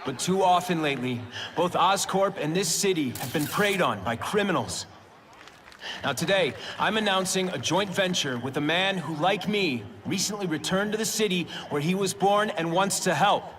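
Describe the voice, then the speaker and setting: A man's voice: high-pitched, animated, normal speed, a bit bright, distant mic, slight background noise. A man voicing a young corporate heir giving a composed, formal public speech. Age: young adult. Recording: game audio from a bit off-mic with music.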